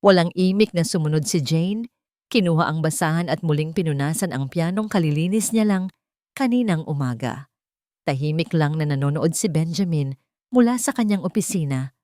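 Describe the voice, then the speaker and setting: A woman narrating a dramatic romance story in a measured, emotive storytelling manner. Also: deep voice, lively intonation, a little mellow, dry recording, clean studio sound.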